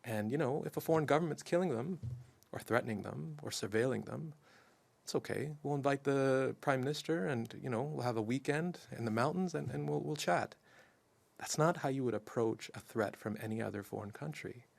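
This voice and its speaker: A man's voice: medium pitch, a little fast, gravelly. A man answering questions as a community advocate at a news conference, frank and pointed with a dry, sarcastic edge. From Canada.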